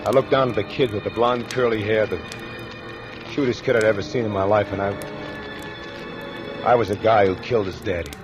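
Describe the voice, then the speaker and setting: A man's voice: fairly low pitch, steady tone, a little fast, pauses often, lightly acted, poor audio. Casting: male actor as hard-boiled fugitive killer, uneasy and hesitant, old radio crime drama with music under him. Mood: guilty, shaken. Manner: colloquial tough-guy talk with tense hesitations. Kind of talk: 1940s radio acting, first-person crime narration.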